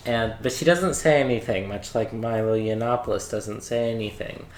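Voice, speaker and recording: Man, podcast co-host, casual storytelling, mid pitch, roomy echo, some background noise. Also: normal speed. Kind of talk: casual group chat, retelling a movie plot.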